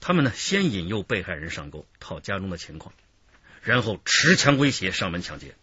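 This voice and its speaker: A man's voice: deep voice, very animated, a little fast, bright, forceful tone, slightly rough, no echo, exaggerated voice. An actor playing a methodical, considerate male police detective in a radio drama. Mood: analytical. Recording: dull lo-fi radio drama recording.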